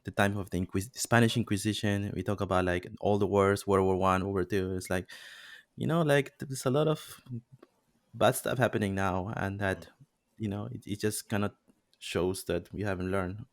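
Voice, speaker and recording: A man's voice: lowish voice, steady tone, moderate pace, no echo, clean studio sound. A man from Peru hosting a podcast, chatting casually with many fillers and restarts. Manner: rambling, many fillers and restarts, laughs often. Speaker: podcast host. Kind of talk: casual interview chat.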